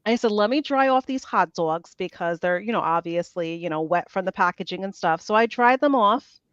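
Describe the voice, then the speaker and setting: Woman guest, lively storyteller, smooth clear voice, dry lo-fi call audio. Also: mid-range voice, moderate pace, no echo. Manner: animated storyteller, quotes her own thoughts. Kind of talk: casual chat.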